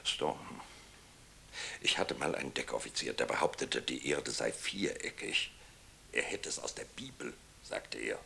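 An actor playing a stern, stubborn navy captain in a radio play, lurching between muttering and barking. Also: male voice, rumbling low, unhurried, vocal fry, bright, forceful tone, slight background noise, exaggerated voice. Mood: brooding. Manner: erratic swings from muttering to barking. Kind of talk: German radio play acting.

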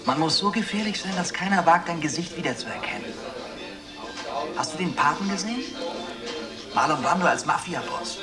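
An actress playing a swaggering, streetwise young ex-convict in a radio play. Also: female voice, on the low side, expressive, normal speed, long pauses, music in the background, close to an everyday voice. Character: boastful, streetwise young ex-convict dreaming of being dangerous. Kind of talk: radio drama dialogue. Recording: slightly distant from the mic.

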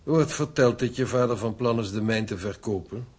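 A man acting in a radio drama as a shrewd, sober older father-in-law, husky, with a measured, knowing and kindly manner. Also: fairly low pitch. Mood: calm, knowing.

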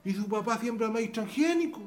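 A plain-spoken Chilean countryman acted by a man for radio theatre, scornful of the rich. His voice sits high and leaps about in pitch, with drawling exclamations over a music bed. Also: animated. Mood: resigned, indignant. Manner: folksy rural speech with drawn-out exclamations. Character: outspoken rustic farmhand, idealistic and plain-spoken, scornful of the rich. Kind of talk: radio drama acting.

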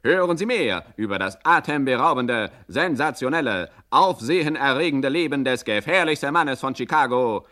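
A man's voice: mid-range voice, animated, normal speed, few pauses, bright, forceful tone. A man narrating a 1960 German radio crime satire in a formal, mock-sensational manner.

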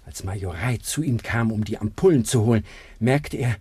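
Husky, broken addict forger. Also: male voice, lowish voice, moderate pace, warm tone, no room sound. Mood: bitter, confessing. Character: bitter, broken, drug-addicted male forger held prisoner. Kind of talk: 1960s German radio crime drama acting.

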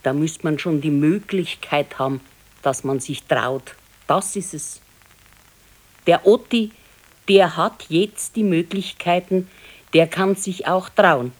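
An actress playing a practical, modest old wife with a Bavarian accent, admonishing and matter-of-fact in a radio play. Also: female voice, low-pitched, takes it slowly. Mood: firm, resigned. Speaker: radio drama actor. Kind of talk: 1970s naturalistic dialect radio play.

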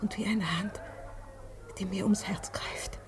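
An actress playing a fearful, sleepless wife in a German radio drama, speaking in a hushed, breathy voice. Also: female voice, mid-range voice, fairly even intonation, takes it slowly, lightly acted. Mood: anxious dread. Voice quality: breathy, whispery. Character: anxious, sleepless wife clinging to her husband.

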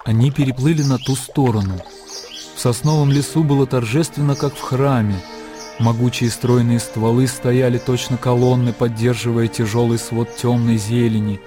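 A man narrating a classic story in a radio play, with a measured, lyrical, literary delivery. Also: mid-range voice, fairly even intonation, lightly acted. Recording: music under the narration. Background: music.

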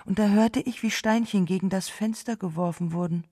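An actress playing a gentle, grieving wife who remembers a lost love in a halting, subdued way in a radio drama. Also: female voice, medium pitch, lightly acted. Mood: pained remembering. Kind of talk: literary radio drama monologue.

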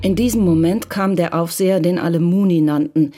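A woman giving calm, measured scripted narration for a radio crime drama. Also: on the low side, soft background music.

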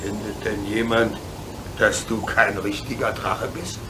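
A man's voice: on the low side, steady tone, close to an everyday voice. A man playing an easygoing, reassuring adult locomotive driver in a children's radio play. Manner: easygoing, avuncular, hesitant pauses. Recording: noisy background with hiss.

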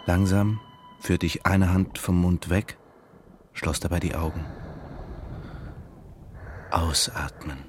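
A deep-voiced, detached man, narrating. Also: steady tone, slow, pauses often. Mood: calm, absorbed. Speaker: actor in a radio drama. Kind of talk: measured first-person radio drama narration. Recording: music and rumble behind voice. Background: music.

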